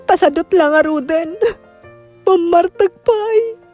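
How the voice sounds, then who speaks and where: A woman's voice: very high-pitched, slow pace, a little mellow, soft background music. An actress playing a distraught, long-suffering young mother and wronged wife in a radio drama, with a Filipino Ilocano accent. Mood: subdued, sorrowful. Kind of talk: emotional radio drama acting.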